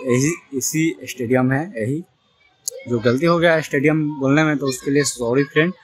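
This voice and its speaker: A man's voice: mid-range voice, fairly bright tone, poor audio. Young man vlogging from a stadium. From Bihar, India. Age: young adult. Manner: chatty, addresses viewers directly, repeats himself. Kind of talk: casual vlog walkthrough. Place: outdoor stadium stands. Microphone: phone at arm's length.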